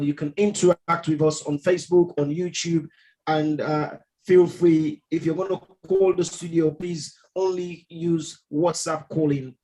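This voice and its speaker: A man presenting on radio, handing over the show, halting and full of filler sounds. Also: on the high side, a little mellow. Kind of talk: on-air announcement.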